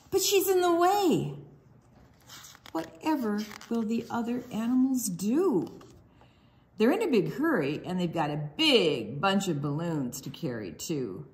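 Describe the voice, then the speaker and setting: A woman reading a picture book aloud to young children, expressively, drawing out words and slipping into hushed asides. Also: medium pitch. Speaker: children's storytime reader.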